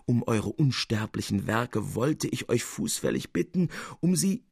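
Man acting elderly theatre owner, flattering, pleading, theatrically humble, husky, gravelly, storybook reading. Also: mid-range voice, unhurried, no echo, studio quality, exaggerated voice. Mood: wounded flattery.

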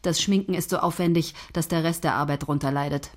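A woman's voice: sits low, fairly even intonation, brisk. An actress playing a dry, sarcastic woman who runs a television writing team in a radio drama.

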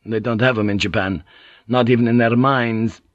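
A man doing a loud, coarse old-world sea pilot. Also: mid-range voice, fairly even intonation, normal speed, no echo, lightly acted. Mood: boisterous. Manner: loud, jovial, profane. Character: boisterous, coarse, swaggering male sea pilot. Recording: dull cassette audiobook tape.

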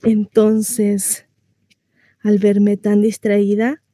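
A woman performs a housewife shut in at home, telling her story to a neighbour in a radio monologue. Her voice is very mellow and mid-pitched, recorded dry. She rambles conversationally, naive and confiding, tossing out rhetorical questions. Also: completely flat delivery, very slow, dry recording. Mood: breathless recounting.

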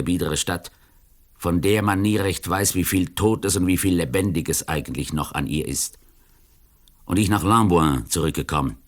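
An actor in a radio play as a cynical, taunting older criminal, speaking in a deliberate, eloquent, mocking way. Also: male voice, low voice.

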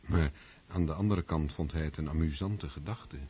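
An actor narrating a historical radio drama in the third person, measured and literary. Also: male voice, very deep voice, dark tone, husky, full character voice.

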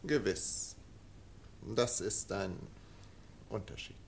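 An actor in a German radio play playing a suave, indulgent English lord with a polite, hesitant aristocratic manner. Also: male voice, lowish voice, lively intonation, slow pace, creaky, slight background noise, full character voice. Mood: suave, amused. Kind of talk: German radio drama acting.

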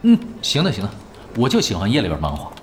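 An actor in a Chinese audio drama playing an easygoing, wry young retired pro gamer with a laid-back, unhurried way of talking. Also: male voice, mid-range voice, huge pitch swings, a little hiss or hum. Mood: agreeable, eager. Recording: music behind the voice.

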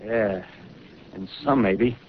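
A man's voice: on the low side, slow. Muffled, noisy 1930s radio drama: fervent artilleryman survivor, slangy, rambling, full of grandiose schemes. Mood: fervent, scheming. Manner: slangy, rambling, fervent working-man talk.

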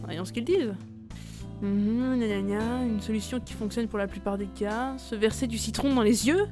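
Audio drama lead: actress as skeptical young woman, blunt and exasperated, voice on the higher side. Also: fairly even intonation, moderate pace, over music, close to an everyday voice. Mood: exasperated musing.

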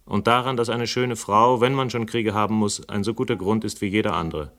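A world-weary male investigator for radio drama. His voice sits fairly low and his delivery is sardonic and philosophical, with a flirtatious, teasing edge. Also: steady tone, a little fast, a bit bright. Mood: reflective, literary. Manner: sardonic, literary, dryly teasing. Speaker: lead actor and first-person narrator. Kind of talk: German radio play acting and narration.

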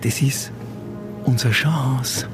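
An actor playing an edgy, defensive amateur hostage-taker with an Austrian accent and a gruff colloquial manner in a radio drama. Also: male voice, mid-range voice, extremely slow, over music.

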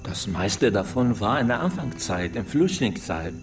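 An actor playing a guarded refugee father in a radio drama, speaking haltingly and quietly under questioning. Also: fairly low pitch, big pitch swings, over music. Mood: anxious, subdued. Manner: halting, subdued. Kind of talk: naturalistic radio drama dialogue. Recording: distant, faint noise.

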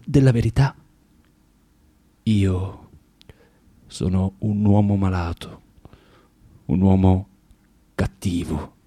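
Spiteful tormented clerk around 40, husky, swings from hushed to loud, radio drama monologue, very dry close sound. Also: male voice, mid-range voice, dry recording. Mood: brooding, confessional, then morose. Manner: confessional, emphatic, halting with dramatic pauses, swinging from hushed to loud. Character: bitter, spiteful, tormented forty-year-old male former clerk. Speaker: actor.

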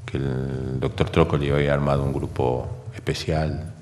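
An older Argentine man recounting memories in an interview, speaking in halting, hesitant phrases. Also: a bass voice, flat delivery, darkish tone. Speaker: interviewee giving testimony. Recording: long reverb, clear background noise.

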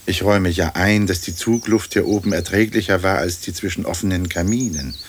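An actor playing a pompous, wry old aristocratic ghost in a radio play, speaking in stately, formal phrases. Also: male voice, deep voice, a little fast, lightly acted. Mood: resigned, lofty. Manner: stately, long-winded, formal diction. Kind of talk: German radio play acting. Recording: old radio recording with faint noise.

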